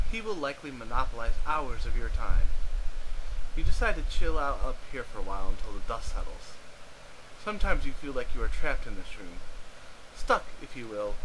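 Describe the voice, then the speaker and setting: A man's voice: mid-range voice, background noise. A man reading scripted narration in a wry, theatrical storytelling way on an amateur home recording. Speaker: narrator reading a webcomic aloud.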